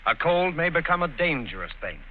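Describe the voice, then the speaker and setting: A male radio announcer giving a 1940s show introduction and ad read, with a crisp, formal delivery. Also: fairly high pitch, sing-song, brisk, narrow, tinny audio, a little hiss or hum.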